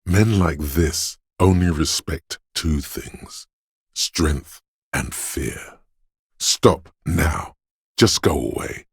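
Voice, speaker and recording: A menacing inner voice of fear and aggression from a video game. It is extremely low and gravelly, with a slow, brooding, theatrical delivery that weighs on every phrase. It addresses the listener directly, like a dark narrator urging violence. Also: male voice, no room sound, studio quality, exaggerated voice. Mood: tense urging. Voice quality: very deep, gravelly, husky. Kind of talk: second-person dramatic video game narration.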